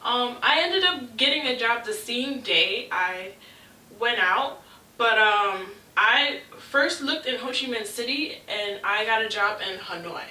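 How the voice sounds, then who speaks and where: A young woman giving travel advice in a casual vlog, chatty and relaxed, with frequent ums. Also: fairly high pitch, measured pace, echoey room, recorded from a distance, low-quality recording. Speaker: travel vlogger.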